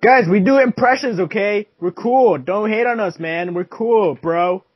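A man's voice: high-pitched, measured pace, no echo, highs cut off. A young man chatting while playing a block-building game, teasing and laughing with his friend. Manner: playful, teasing, laughs often. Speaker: gamer. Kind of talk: casual gaming chat.

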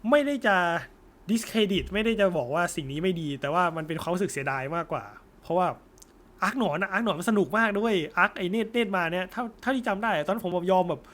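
Young guy, pretty high voice, informal anime chat with the odd swear. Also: fast talker, fairly bright tone. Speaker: anime discussion co-host. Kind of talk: casual post-film chat.

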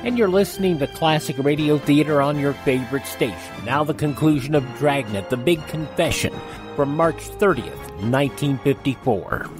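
A man's voice: fairly high pitch, expressive, normal speed. A man announcing a radio show from a script. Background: music bed.

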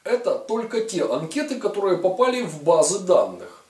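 A man's voice: sits high, very animated, normal speed, darkish tone. A man in his forties giving sarcastic political commentary to camera, in a mocking, ironic, emphatic way. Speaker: video blogger commentator. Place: studio with a virtual set. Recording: roomy, distant sound.

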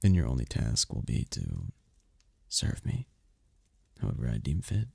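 A man voicing a seductive, possessive demon prince in an ASMR roleplay, with a husky, gravelly voice and a regal, flirtatious manner. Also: very deep voice, huge pitch swings, a little mellow, dry recording, heavily acted. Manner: regal, slow-savouring, flirtatious.

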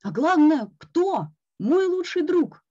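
A woman voicing a grumpy, timid male toad in a storybook reading, grumbling and quick to squeal in alarm. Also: mid-range voice, animated, mellow, natural voice. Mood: panicked. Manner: grumbling, then shrieking in panic. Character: grumpy timid male toad who loves his blanket. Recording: dull lo-fi recording.

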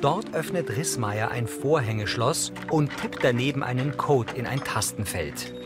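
Male audio description narrator, matter-of-fact scripted delivery, mid-range voice, loud music bed. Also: mid-range voice, animated, measured pace.

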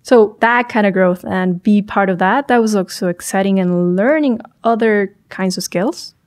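A young woman from Chile, a startup founder speaking as a podcast guest in a small studio on a boom mic, talking thoughtfully with frequent restarts and self-corrections. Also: moderate pace. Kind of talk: podcast interview.

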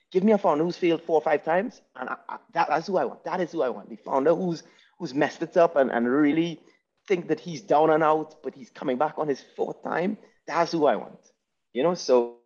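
A man's voice: mid-range voice, a little mellow. A young man answering casually as a webinar panelist over a headset on a video call. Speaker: panelist, startup co-founder. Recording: muffled video call audio.

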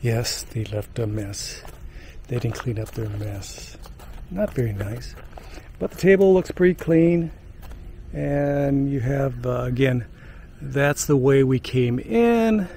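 A man's voice: medium pitch, slow pace, warm tone, a little hiss or hum. An older man hosting a travel vlog outdoors, chatting to a handheld camera in a jokey, rambling way. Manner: jokey, rambling, with filler words. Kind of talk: casual chat to camera. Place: outdoors on a wooded lakeside trail and park. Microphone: handheld camera at arm's length.

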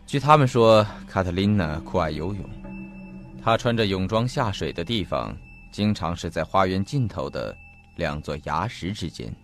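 A man acting in an audio drama as an earnest investigator, speaking in a formal, deliberate and detailed storytelling manner. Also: deep voice, lively intonation. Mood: grave, explanatory. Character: earnest male investigator recounting a mysterious disappearance. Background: faint background music.

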